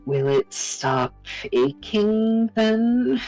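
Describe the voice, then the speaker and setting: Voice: mid-range voice, sing-song, very slow, darkish tone, soft background music, voice pushed up, full character voice. A performer voicing a wistful young forest ranger in a visual novel, earnest and dreamy, longing for adventure. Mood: aching, unsure.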